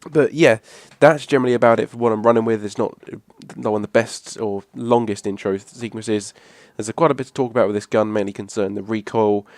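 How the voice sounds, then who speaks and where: A hoarse young man, rambling casually through a video game weapon review. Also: fairly low pitch, no room sound, clean studio sound. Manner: rambling, restarts a lot, casual swearing. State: hoarse from a cough. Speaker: gaming channel host. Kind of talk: casual gameplay commentary.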